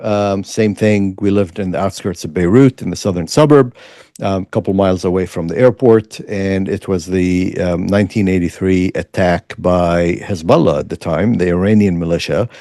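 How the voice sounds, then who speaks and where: Deep-voiced man. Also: steady tone, normal speed, warm tone, dry recording, studio quality. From Lebanon. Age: 50s. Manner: earnest, reflective, many fillers. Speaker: guest, former state legislator. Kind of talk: conversational interview storytelling.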